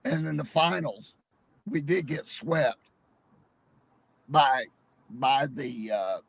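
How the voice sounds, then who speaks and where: A hoarse man with a cold calling into a live sports chat, rambling and hesitant with many fillers. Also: medium pitch, animated, slow pace, fairly bright tone, no echo. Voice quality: gravelly, husky. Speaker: caller on a live panel stream. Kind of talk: casual call-in chat.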